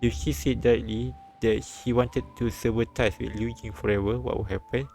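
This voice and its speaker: A man reading a visual novel aloud on a live stream, with halting restarts and bits of commentary. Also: medium pitch, fairly even intonation, creaky, a little mellow. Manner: halting, repeats and restarts lines. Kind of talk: live read-aloud translation and narration with commentary. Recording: background music behind the voice.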